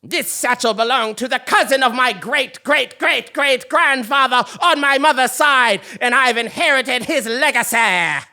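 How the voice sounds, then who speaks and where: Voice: high-pitched, measured pace, few pauses, pushed and bright, exaggerated voice. A performer playing a proud, snobbish, indignant royal tax collector in a live comic stage audio play, with a blustering, theatrical manner. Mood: scornful, proud.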